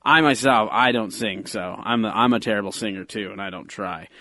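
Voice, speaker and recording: A man hosts a comedy podcast in a bright, forward voice of middle pitch. He is easygoing and laughs along with his guest. The recording is dry and close to the mic. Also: brisk, dry recording. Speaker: podcast host. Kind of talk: casual podcast chat.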